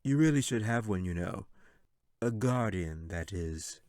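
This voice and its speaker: A man's voice: on the low side, lively intonation, measured pace, no echo, clean studio sound. Man playing a sly, mocking evil wizard. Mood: mocking concern. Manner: soft-spoken, condescending, mock concern. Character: sly menacing male wizard, mockingly courteous villain.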